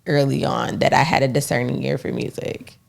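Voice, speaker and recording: A hoarse woman co-hosting a relationship podcast who speaks firmly and matter-of-factly. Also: rumbling low, steady tone, fairly quick. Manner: firm, matter-of-fact, frequent backchannel. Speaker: podcast co-host. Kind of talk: casual relationship chat.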